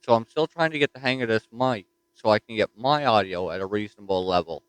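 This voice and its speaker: A man's voice: on the low side, a little fast, clear, resonant tone, no echo, poor audio. Easygoing Newfoundland man on the radio. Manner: easygoing, says "roger that". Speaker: ham radio operator. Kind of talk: casual radio chat. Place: home radio station.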